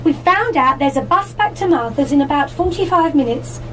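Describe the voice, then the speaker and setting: A woman's voice: high-pitched, expressive, moderate pace, fairly bright tone, a little hiss or hum. A woman playing an amiable, nostalgic holiday companion in a live radio play. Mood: friendly.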